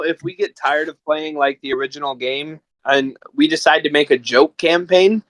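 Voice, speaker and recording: A man's voice: on the high side, fairly bright tone, dry recording, poor audio. A man chatting casually as a podcast guest, telling stories in a rambling, enthusiastic way. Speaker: podcast guest and amateur voice actor. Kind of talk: casual chat.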